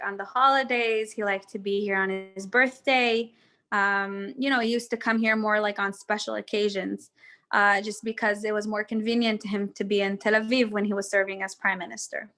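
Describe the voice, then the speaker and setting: A woman's voice: medium pitch, fairly bright tone. A young woman from Israel with an American accent, guiding a live online tour and answering questions in a chatty way, with many fillers and restarts. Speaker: tour guide. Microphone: video call.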